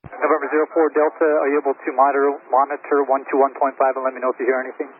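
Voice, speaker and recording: Male air traffic controller, mid-pitched, brisk and matter-of-fact, on a narrowband radio. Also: level tone. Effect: radio transmission. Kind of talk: clipped radio traffic instructions. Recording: tinny narrowband aviation radio.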